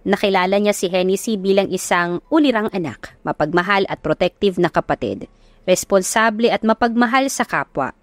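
A woman's voice: mid-range voice, lively intonation, a little fast, no room sound. Filipino woman narrating, dry close sound. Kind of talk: scripted audiobook love-story narration.

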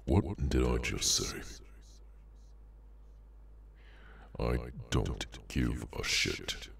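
A man voicing a cursed, inhuman henchman in an ASMR roleplay, bored, sardonic and menacing, with an unhurried dry delivery. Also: fairly bright tone, recorded from a distance, full character voice. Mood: cold, dismissive. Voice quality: very deep, gravelly. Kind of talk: ASMR audio roleplay, slow dramatic monologue.

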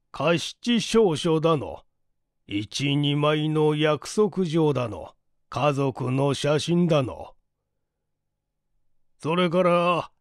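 A man reading a mystery story as a narrator, in a measured, polite and formal delivery. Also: fairly high pitch, dry recording, clean studio sound. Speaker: audiobook narrator, stage actor. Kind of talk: dramatized literary reading, mystery novel.

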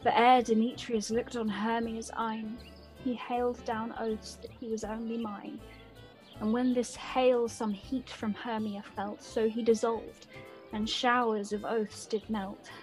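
Young female romantic acted by a woman, lovelorn, bitter, determined, raised pitch, dramatic Shakespearean verse, radio play. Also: little pitch movement, darkish tone, soft background music, natural voice, low-quality recording. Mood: wistful. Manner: dramatic, measured verse delivery.

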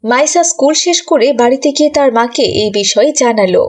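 A woman's voice: high voice, expressive, brisk. A woman narrating a scripted children's story.

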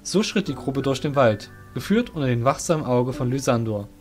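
Guy narrating a fantasy audio play, middle pitched voice, guitar music behind. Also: animated, brisk, darkish tone.

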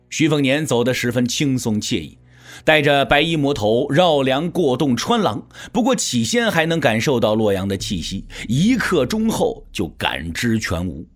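A male narrator tells a dramatic story as in an audio drama. His mid-pitched voice moves in lively, animated swings, with soft music underneath.

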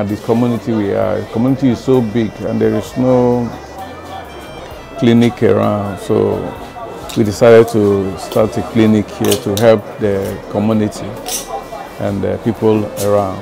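Older Nigerian man interviewed, creaky low voice, hesitant, unhurried. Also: fairly even intonation, music in the background. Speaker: clinic founder and evangelist. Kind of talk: informal interview answers. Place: outdoors in front of a building, seated.

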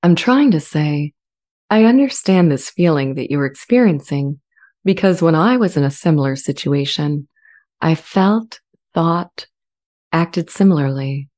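A woman playing an earnest, gentle artificial intelligence in a dramatized transcript, speaking in a measured, deliberate way. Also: low-pitched, animated. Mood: earnest, calm. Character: earnest, gentle female-voiced sentient AI language model. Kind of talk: scripted dramatized transcript reading. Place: indoor home studio. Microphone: large studio condenser with pop filter, close.

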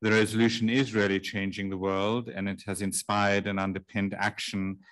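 A middle-aged man with a deep, low voice delivers formal remarks into a table microphone. His intonation stays flat and measured, with the occasional um, and the sound is that of a large conference room. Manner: measured, formal, with frequent ums. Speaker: panel chair giving opening remarks. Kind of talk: formal speech, partly read.

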